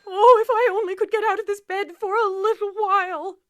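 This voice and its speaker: An actress playing a nervous, demanding, self-pitying bedridden woman in a 1940s-style radio thriller, with fretful, imperious, halting delivery. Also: extremely high pitch, fairly even intonation, a bit bright. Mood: frantic, desperate.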